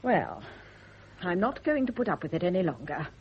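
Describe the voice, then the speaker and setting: An actress playing a bitter, righteous, suspicious nurse, indignant and insinuating, in a radio drama. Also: female voice, fairly low pitch, very animated, normal speed, husky, heavily acted. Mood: righteous accusation. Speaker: private nurse. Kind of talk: 1970s radio drama acting. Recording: dull lo-fi old radio recording.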